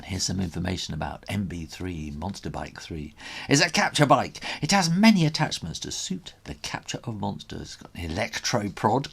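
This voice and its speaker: A man reading a children's story aloud in a dramatic, expressive way. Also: low voice, gravelly, slightly rough.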